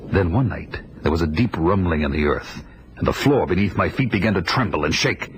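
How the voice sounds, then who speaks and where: An actor voicing a grim young barbarian warrior who narrates in a measured, dramatic way for a 1980s audio drama. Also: male voice, deep voice, lively intonation, a little hiss or hum, poor audio. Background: loud score under the voice.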